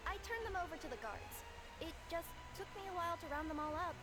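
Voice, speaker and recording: A woman's voice: extremely high pitch, lively intonation, fast, music in the background. A woman voicing a cheerful young two-tailed cat yokai courier girl who talks in a sheepish, evasive way. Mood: cheery, sheepish. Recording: game audio with background noise.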